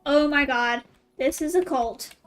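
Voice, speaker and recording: A woman with a high voice chats casually while she plays, dropping wry asides. She speaks slowly and easily. Faint game music plays behind her. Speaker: gaming streamer. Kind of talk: casual commentary while playing.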